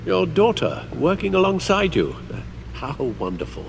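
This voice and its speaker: An actor playing a courteous, wry older butler in a video game, speaking in polite, measured, formal phrases. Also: male voice, high-pitched, wildly swooping pitch, heavily acted. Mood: polite, pleased. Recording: clearly noisy background.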